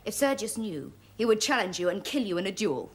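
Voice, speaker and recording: A woman's voice: medium pitch, sing-song, fairly quick, breathy edge. A woman playing a proud, romantic young lady in a stage comedy, with a declamatory, emotional delivery. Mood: earnest, pleading. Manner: declamatory, noble posturing, emotional swings.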